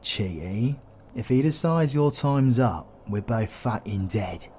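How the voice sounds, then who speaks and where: A man with a British accent playing a cocky, foul-mouthed, protective dark-magic supervillain in an audio roleplay, irreverent and colloquial. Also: medium pitch, expressive, takes it slowly, a little hiss or hum. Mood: urgent, worried.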